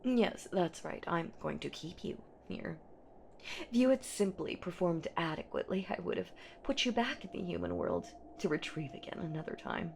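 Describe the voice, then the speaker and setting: A woman's voice: lowish voice, sing-song, a little mellow, slight background noise. A woman voicing an ancient female boogeywoman in a horror roleplay audio drama, predatory and possessive, with teasing, menacing delivery. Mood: possessive, sweetly menacing. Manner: slow, teasing, menacing, breathy whispers and drawn-out words.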